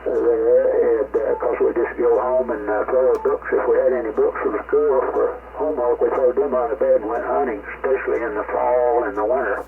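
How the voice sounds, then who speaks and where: A man's voice: medium pitch, level tone, moderate pace, hardly any pauses. An older man, reminiscing in a folksy, rambling way over a ham radio link. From rural United States. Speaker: amateur radio operator. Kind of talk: casual reminiscing chat. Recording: tinny, noisy ham radio transmission.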